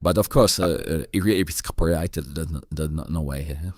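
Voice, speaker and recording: A man with a non-native English accent hosting an interview chat, halting and stammering, often repeating words. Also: a bass voice, no echo, clean studio sound. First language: not English. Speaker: host.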